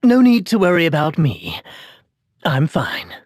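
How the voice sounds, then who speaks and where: A troubled young knight from a video game, earnest and formal. His breathy voice moves slowly and swings widely in pitch, halting with dramatic weight. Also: huge pitch swings, dry recording, clean studio sound, full character voice. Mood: strained, reassuring.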